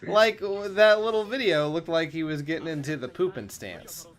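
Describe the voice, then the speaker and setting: A man's voice: fairly high pitch, normal speed. A man co-hosting a video game show, reacting to gameplay in casual, wisecracking chat.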